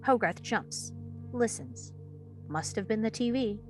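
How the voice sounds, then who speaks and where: A woman's voice: on the high side, expressive, a little slow, a little mellow, soft background music. A woman reading stage directions as the narrator of a screenplay table read.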